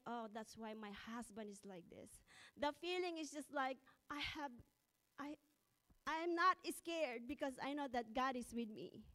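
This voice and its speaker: High-voiced Filipino woman pastor preaching. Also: fairly bright tone. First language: Ilocano. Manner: nervous, laughing, prayerful. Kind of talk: sermon.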